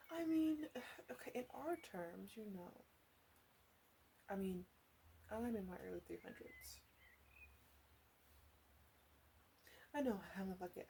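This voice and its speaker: Woman playing a mellow, teasing ASMR witch. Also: medium pitch, gravelly, slight background noise. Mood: exasperated, flirty. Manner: soft, coaxing, playful teasing with murmured asides. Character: teasing, flirty witch in her three hundreds. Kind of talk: ASMR roleplay, speaking to the listener.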